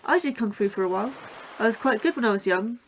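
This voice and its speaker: An actress playing an anxious, inquisitive young scientist who keeps pestering a coworker with questions. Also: female voice, fairly high pitch, expressive, fast, a little hiss or hum, low-quality recording.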